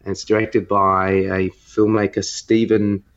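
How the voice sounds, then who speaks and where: A man's voice: lowish voice, little pitch movement, a little slow, lo-fi sound. A man hosting a podcast, talking casually about films and often repeating words as he searches for the next one. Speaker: podcast host. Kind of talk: casual film discussion.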